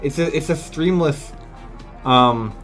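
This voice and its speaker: A man's voice: a little high, slow pace, fairly bright tone, soft background music. A man giving casual, incredulous live commentary as a video game streamer, exclaiming and swearing freely.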